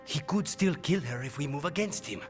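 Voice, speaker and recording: A man's voice: on the high side, animated, normal speed, over music, lightly acted. An actor playing a brooding, conflicted male assassin in a video game, intense and troubled in manner. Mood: anguished.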